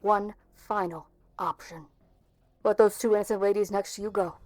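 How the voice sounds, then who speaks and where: A woman's voice: medium pitch, fairly even intonation, moderate pace, a little mellow, no room sound, natural voice. Woman acting a stern, bitter policewoman, dry sound. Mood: bitter, scolding. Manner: cutting, sarcastic. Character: stern, bitter policewoman confronting an old friend. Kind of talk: amateur fan-dub game drama acting.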